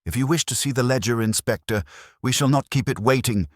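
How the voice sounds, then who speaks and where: A man's voice: medium pitch, fairly quick, dry recording, clean studio sound, pitched above the performer's own voice, natural voice. A man voicing a prim, officious village postmistress in a storybook mystery, speaking with precise formality.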